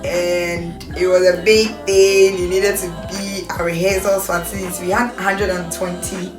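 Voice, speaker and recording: A woman with a Ghanaian accent chatting casually and reminiscing. She tells her stories in a lively way, laughs often, and acts out the voices of the people she quotes. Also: fairly low pitch, unhurried. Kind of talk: casual reunion chat, reminiscing. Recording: roomy sound, mic at a distance, music behind.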